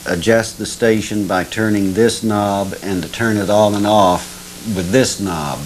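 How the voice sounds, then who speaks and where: A man's voice: on the low side, fairly even intonation, unhurried, a little hiss or hum, rough recording. A man presenting an informal, explanatory demonstration of an object.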